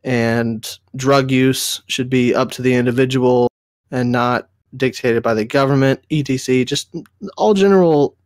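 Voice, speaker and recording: A man's voice: mid-range voice, a little slow, no echo, studio quality. A young man from the States, a little nasal from a cold, telling a rambling story in casual chat with friends. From Southern United States. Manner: rambling, self-correcting storytelling. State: recovering from a cold, a bit nasal. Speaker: podcast co-host.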